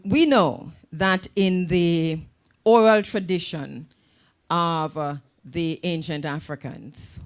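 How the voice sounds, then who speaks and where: A Jamaican woman hosting a radio talk show, chatty and affirming with her guests. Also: low voice. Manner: warm, affirming, chatty interviewer. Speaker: radio host.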